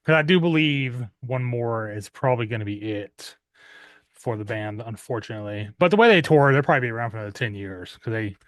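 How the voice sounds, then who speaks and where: A man in his thirties casually showing off his metal music purchases at home, rambling with many "uh" fillers and clearing his throat often. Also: medium pitch, expressive, brisk, fairly bright tone, no room sound. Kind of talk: casual unboxing chat.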